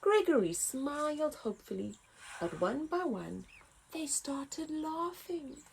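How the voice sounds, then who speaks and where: A woman reading a children's story aloud as a story time host, with expressive, dramatic emphasis. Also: high-pitched, slow, creaky.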